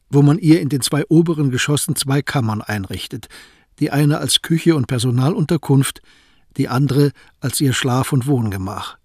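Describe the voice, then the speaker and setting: A man narrating a historical radio drama in a measured, sober way. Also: medium pitch, darkish tone, slightly rough, no room sound. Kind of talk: scripted narration. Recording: dry close recording with music.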